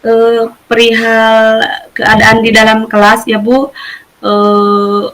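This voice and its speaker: A woman. Her intonation stays very flat as she talks politely and a little hesitantly, like a teacher in a semi-formal one-to-one coaching session. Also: a little high, slow. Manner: polite, hesitant, tag-heavy phrasing.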